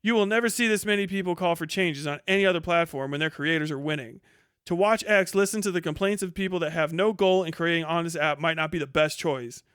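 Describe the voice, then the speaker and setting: A man's voice: fairly high pitch, fast, fairly bright tone, clean tone, dry recording, clean studio sound. A man hosting a livestream with casual reaction commentary, talking and reading aloud in a blunt, mocking, profane way. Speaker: livestream host.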